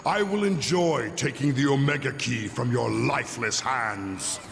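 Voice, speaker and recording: A cruel robot warlord who drawls his threats slowly in a gravelly voice. His words ring with long reverb, as if from a distance. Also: mid-range voice, fairly bright tone, faint music behind. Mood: mocking. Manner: slow, mocking. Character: Megatron, menacing male robot warlord, cruel and scornful.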